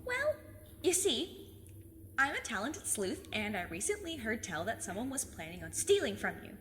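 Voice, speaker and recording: Woman acting as teen girl detective, highish voice, very animated, cheeky, self-assured, audio drama. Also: moderate pace, slight background noise. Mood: nervous salesmanship. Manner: eager, cheeky, mock-formal, self-assured. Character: plucky, overconfident fifteen-year-old girl sleuth.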